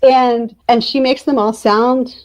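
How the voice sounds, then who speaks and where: A woman's voice: on the high side, fairly even intonation, takes it slowly, a little mellow. A woman chatting candidly and emphatically as an author being interviewed. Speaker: guest, author. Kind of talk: casual interview conversation.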